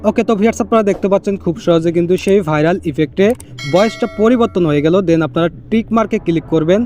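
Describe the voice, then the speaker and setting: A man's voice: sits high, a little mellow. A man hosting a casual how-to tutorial, explaining step by step, repeating himself and dropping in English catchwords. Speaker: tech tutorial host. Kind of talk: casual screen-recorded how-to narration.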